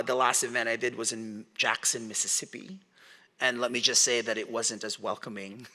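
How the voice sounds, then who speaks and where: A man's voice: medium pitch, bright, forceful tone. A man in his forties, born in the Philippines, giving a conversational public lecture and often asking the audience questions. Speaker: guest speaker. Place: large hall. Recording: long hall reverb.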